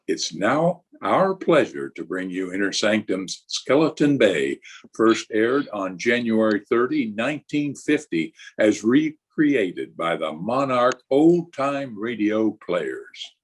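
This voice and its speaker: A man's voice: on the low side, very animated, slow pace. A man delivering a scripted introduction as the announcer of a radio drama.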